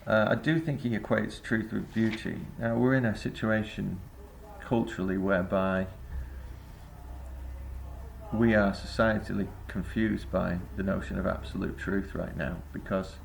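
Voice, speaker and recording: A man's voice: lowish voice, steady tone, a little slow, vocal fry, a little hiss or hum. A man giving a reflective spoken essay to camera as a vlogger, rambling and hesitant with many filler sounds. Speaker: vlogger and busking singer.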